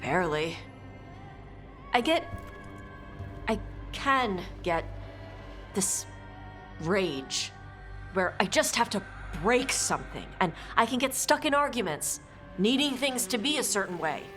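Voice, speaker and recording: An actress playing a blunt, guarded young dragon hunter in a video game, speaking in terse, casual phrases. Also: female voice, mid-range voice, big pitch swings, slow pace, long pauses, a bit bright. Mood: troubled, guarded. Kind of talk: video game cutscene acting. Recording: music behind the voice.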